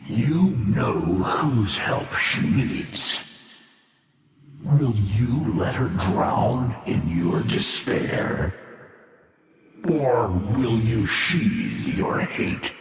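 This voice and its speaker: A man's voice: fairly low pitch, creaky, full character voice. A male actor playing an ancient, brooding alien war-being in a video game, grave and scornful, with solemn, portentous delivery drenched in reverb. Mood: reproachful. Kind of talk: theatrical scripted video game voice acting. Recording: cavernous long reverb, faint background noise.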